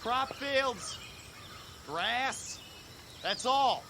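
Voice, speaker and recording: An American actor playing an excitable, naive young infantry soldier in a radio drama, talking in colloquial slang. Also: male voice, very high voice, extremely slow, slight background noise, heavily acted. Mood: wary.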